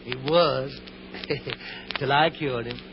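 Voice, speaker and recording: A man's voice: medium pitch, fairly even intonation, very slow. An actor playing a sly, folksy traveling salesman in an old radio drama, gabby and pleased with himself. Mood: boastful, amused. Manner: folksy, chuckling, gabby, self-satisfied. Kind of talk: 1950s radio drama acting. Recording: dull, noisy old radio recording.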